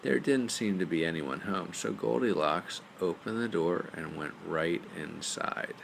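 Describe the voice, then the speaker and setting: A man's voice: sits low, unhurried, creaky. A man narrating a bedtime story aloud as a storyteller. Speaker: storyteller, father reading aloud. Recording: faint background noise.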